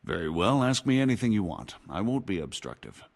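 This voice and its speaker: Game cutscene: a wheedling jailed knight, low voice, very lively pitch. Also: male voice, fairly quick, gravelly, full character voice. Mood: sly. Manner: sly, wheedling, self-pitying. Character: scheming imprisoned robber knight, older man. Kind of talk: video game cutscene acting.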